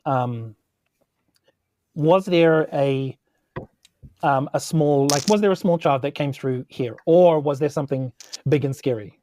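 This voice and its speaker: A woman's voice: deep voice, a little slow, pauses often, warm tone, no echo. A woman with a New Zealand accent talking casually as a role-playing player, politely and a little hesitantly. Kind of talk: casual game talk.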